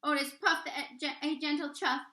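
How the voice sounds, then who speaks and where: High, bright woman reading a storybook. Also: steady tone, normal speed, reverberant. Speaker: read-aloud storyteller, teacher reading to children. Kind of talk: expressive read-aloud of a children's picture book.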